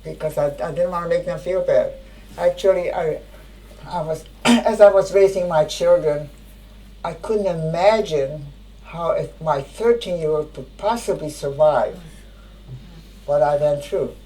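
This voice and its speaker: An older woman, a native Hungarian speaker, giving spoken testimony in a halting way, often searching for words. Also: low voice, dark tone, rough recording. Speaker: interviewee.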